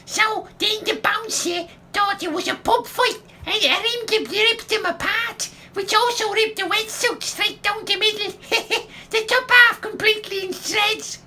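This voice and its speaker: An actress playing a chatty, cheeky gossip in an audio comedy, rambling through stories in colloquial, giggly speech. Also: female voice, very high-pitched, a little slow, hardly any pauses, pushed and bright, slight background noise, heavily acted. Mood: gleeful gossip. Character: chatty, cheeky working-class woman, gleeful gossip. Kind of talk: audio comedy radio play acting.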